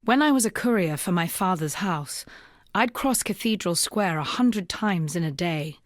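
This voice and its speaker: Young female rebel, played by an actress, fantasy game. Resolute, solemn storyteller with a lower register and measured delivery, recorded dry. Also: lively intonation, no echo, lightly acted. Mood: wistful. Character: grave, resolute young woman rebel, former courier and slave. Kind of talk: dramatic scripted video game dialogue.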